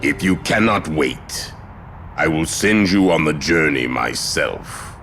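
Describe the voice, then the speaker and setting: An actor playing a sinister, pompous villain who worships the void in a video game, with a deep gravelly voice and grandiose delivery. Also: male voice, lively intonation, takes it slowly, fairly bright tone, soft background music, heavily acted. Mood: gloating, ominous. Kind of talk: theatrical video game voice acting.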